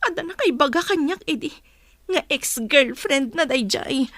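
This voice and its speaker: A woman's voice: sits high, animated, unhurried, fairly bright tone, dry recording, studio quality. A woman acting the part of a hurt but resolute wife and mother in a radio-style drama, emotional and plaintive. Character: hurt, resolute wife and mother leaving her cheating husband.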